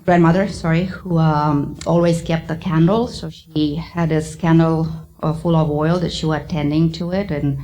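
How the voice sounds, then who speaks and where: A middle-aged woman with a Romanian accent giving a thoughtful public lecture, often pausing with ums. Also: low-pitched, fairly even intonation, warm tone. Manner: thoughtful, hesitant with many ums. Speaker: psychiatrist giving a talk.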